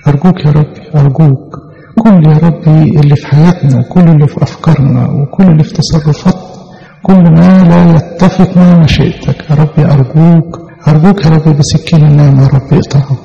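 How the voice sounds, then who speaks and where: A man's voice: fairly high pitch, steady tone, fast, gravelly, dark tone. A man with an Egyptian accent leading a fervent, extemporaneous prayer in a church, pleading earnestly and repeating his appeals to God. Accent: Egyptian Arabic. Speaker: prayer leader. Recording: long hall reverb, dull lo-fi sound.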